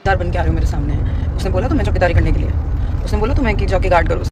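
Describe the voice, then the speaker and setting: A woman's voice: on the low side, very fast. A woman vlogger chatting casually back at her viewers in a defiant, argumentative way. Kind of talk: casual chat replying to viewers. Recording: loud traffic and vehicle noise.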